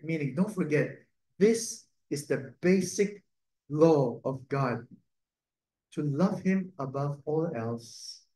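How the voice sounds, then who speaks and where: A man, a priest and native Cantonese speaker, giving a gentle, conversational reflection on the Sunday readings, often adding a questioning "no?". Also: on the high side. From Cantonese-speaking region. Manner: gentle, conversational, tag "no?" and soft laughs. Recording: echoey room.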